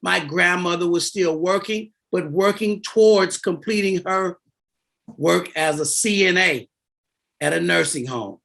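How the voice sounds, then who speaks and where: Bright, high-voiced man in his fifties. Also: a little slow, gravelly. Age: 50s. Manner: animated, emphatic, repeats key words. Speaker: guest, insurance and debt author. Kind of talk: explaining finance in a podcast interview.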